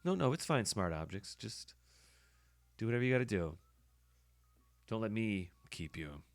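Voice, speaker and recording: A man with a hoarse voice, casually talking through his editing work as a podcast host, thinking aloud with fillers. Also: on the low side, very animated, normal speed, no echo. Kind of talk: casual screen-recording commentary.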